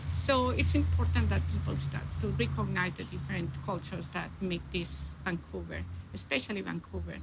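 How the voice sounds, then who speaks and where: A woman's voice: mid-range voice, normal speed, gravelly, few pauses, very noisy. A woman speaking earnestly in a documentary interview, often repeating words as she searches for them.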